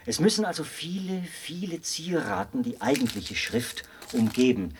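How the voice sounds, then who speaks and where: An actor in a German radio play as a zealous, meticulous officer who explains a machine with pedantic pride. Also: male voice, medium pitch, big pitch swings, normal speed, slight background noise, lightly acted. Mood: proud, insistent.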